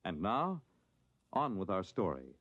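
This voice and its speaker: A man's voice: deep voice, huge pitch swings, measured pace, a bit bright, husky. A man reading a scripted 1950s radio advertisement in an earnest, emphatic, persuasive way. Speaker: radio announcer. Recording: dull vintage radio recording.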